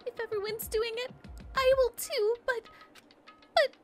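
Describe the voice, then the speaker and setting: A woman voicing a timid, self-blaming little rabbit creature from a video game, squeaky and whimpering in halting phrases. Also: steady tone. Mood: timid, pleading. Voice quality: squeaky, thin. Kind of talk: video game character read-aloud. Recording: loud music behind the voice. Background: game music.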